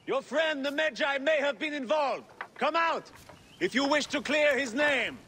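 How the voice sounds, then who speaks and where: An actor playing a hard, threatening soldier in a video game, calling out in short phrases. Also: male voice, very high-pitched, animated, a little slow, bright, forceful tone, slight background noise, full character voice. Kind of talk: video game dramatic acting.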